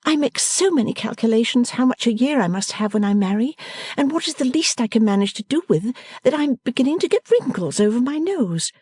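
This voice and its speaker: A woman's voice: on the high side, big pitch swings, fairly quick, dark tone, breathy edge, dry recording, studio quality, pitched above the performer's own voice. A woman reader voicing a playful, teasing young woman who talks in an emphatic, theatrical way.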